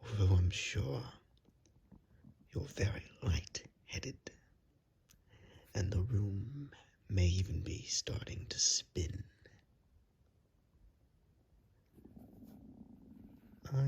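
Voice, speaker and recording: A performer voicing a hungry, courteous but menacing vampire in a breathy, coaxing ASMR roleplay whisper. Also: low voice, a little mellow, heavily acted. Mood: satisfied, soothing. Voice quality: breathy, husky whisper with creaky edges. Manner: slow, coaxing, politely threatening.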